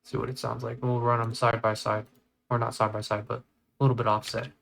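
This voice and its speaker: A man giving a casual step-by-step software tutorial, informally thinking aloud as he works. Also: medium pitch, little pitch movement, fairly quick. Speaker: tutorial creator. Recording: clear background noise, mouse clicks.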